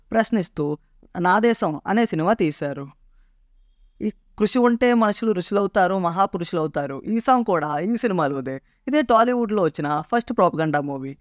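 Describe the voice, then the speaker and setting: Guy narrating an explainer video, high smooth voice, really dry close sound. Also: dry recording. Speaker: video presenter.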